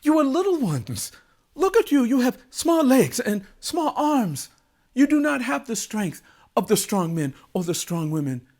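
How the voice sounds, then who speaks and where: A man's voice: very high voice, sing-song, a little slow, darkish tone, voice pushed up, heavily acted. A man voicing an emotional village king in a told folktale, with a theatrical, exclaiming delivery. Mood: doubtful, pleading. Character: distraught, dramatic village king, pleading and wailing.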